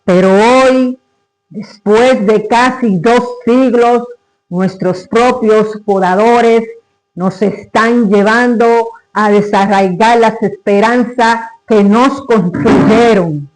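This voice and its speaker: Male reciter for a dramatic literary monologue: high register, unhurried, solemn and declamatory delivery. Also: fairly even intonation, poor audio.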